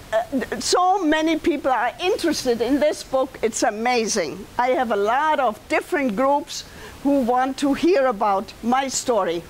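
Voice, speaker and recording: An older woman with a heavy German accent, giving a loud, projected talk to an audience in a library meeting room. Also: high-pitched, slight background noise, poor audio. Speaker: guest speaker. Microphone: far camera.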